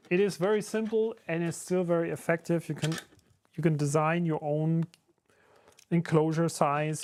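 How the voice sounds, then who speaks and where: A man's voice: on the high side, a little hiss or hum. A German man, a native German speaker talking in English with a German accent, chatting casually on a live stream while he builds and answers his chat. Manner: relaxed and conversational, many fillers, answers chat. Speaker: streamer and YouTuber building a 3D printed enclosure.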